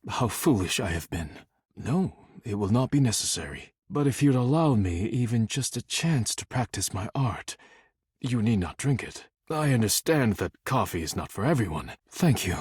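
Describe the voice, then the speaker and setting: A man voicing a serious, proud, formal dish character in a dating sim game, speaking in measured, precise and reserved phrases. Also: on the low side, very animated, a little mellow, husky, dry recording, clean studio sound, heavily acted. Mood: sincere, grateful. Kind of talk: scripted character dialogue.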